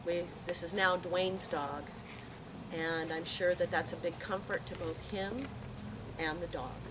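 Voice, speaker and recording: A woman giving a heartfelt, plain-spoken eulogy to a gathering outdoors, telling stories as she goes. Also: lowish voice. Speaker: eulogy speaker at a memorial. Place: outdoor park memorial gathering. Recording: noisy outdoor park ambience.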